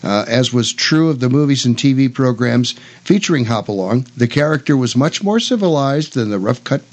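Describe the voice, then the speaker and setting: An older man with a medium-pitched voice co-hosts a radio talk show. He talks casually and somewhat haltingly, repeating words as he goes, and at times reads aloud. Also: lively intonation, a little mellow. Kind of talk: casual chat about old radio westerns, some reading aloud.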